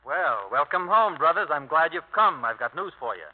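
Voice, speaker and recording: An actor playing a tough, sardonic, embittered man with a husky voice and clipped talk in a 1950s radio drama. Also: on the high side, big pitch swings, normal speed. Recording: narrow, lo-fi old radio broadcast.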